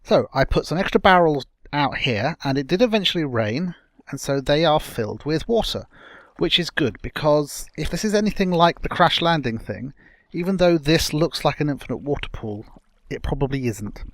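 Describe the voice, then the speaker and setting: Slightly croaky man narrating gameplay. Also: on the high side, lively intonation, normal speed, dry recording. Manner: rambling, self-correcting, chatty. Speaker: let's play host. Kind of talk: casual gameplay commentary.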